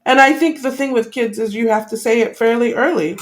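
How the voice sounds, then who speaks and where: A woman's voice: on the high side, a little fast. A woman co-hosting a podcast, giving her opinions in a casual, explanatory way and laying out her points one after another. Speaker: podcast co-host.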